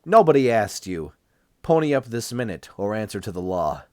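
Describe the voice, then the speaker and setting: A man's voice: lowish voice, big pitch swings, moderate pace, warm tone, dry recording, studio quality. A man voicing a tough, sardonic patient in an audiobook, blunt and commanding with a threatening edge. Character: tough, demanding, sardonic escaped male patient. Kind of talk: audiobook character dialogue.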